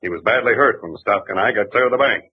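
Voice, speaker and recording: A smooth ex-con robber. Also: male voice, fairly low pitch, quick pace. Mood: cajoling. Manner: cajoling, condescending. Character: smooth-talking ex-convict bank robber. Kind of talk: 1940s radio acting. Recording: dull old radio broadcast.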